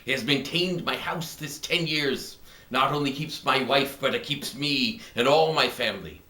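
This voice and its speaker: A man playing a smug, contented kept husband in a theatrical, gleeful Elizabethan stage comedy monologue, with drawn-out phrasing. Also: mid-range voice, bright, forceful tone, lightly acted. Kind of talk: classical stage monologue acting. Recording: echoey room.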